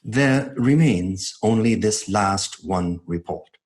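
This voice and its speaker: An actor playing a sober, earnest gentleman who formally presents his account in a horror radio drama, in a measured way. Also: on the low side. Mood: solemn.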